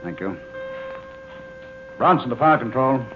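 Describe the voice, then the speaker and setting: An actor in a 1950s radio drama playing Doctor Bronson, a male scientist in charge, speaking in crisp, commanding tones. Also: mid-range voice, lively intonation, a little slow, music in the background, lightly acted. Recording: tinny old radio broadcast with hiss.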